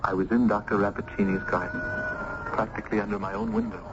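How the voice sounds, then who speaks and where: Casting: male actor as romantic young gentleman, courtly, lowish voice, formal diction, muffled vintage radio drama. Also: steady tone, quick pace, background noise, lightly acted. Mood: hushed recollection. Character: lovestruck, earnest young Italian gentleman. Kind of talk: old-time radio drama acting and first-person narration.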